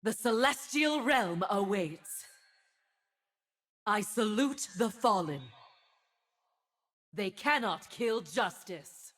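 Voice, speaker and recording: A woman's voice: mid-range voice, animated, takes it slowly, long pauses, fairly bright tone. A woman playing a stern, zealous divine winged warrior in a video game, making solemn, grandiose declarations. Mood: exalted, wrathful. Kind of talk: video game character voice lines.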